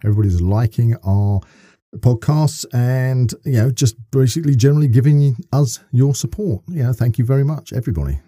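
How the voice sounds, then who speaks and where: A man's voice: medium pitch, fairly quick, hardly any pauses, warm tone, dry recording, studio quality. A man co-hosting a podcast in a casual, chatty interview style, wordy and thinking aloud as he goes.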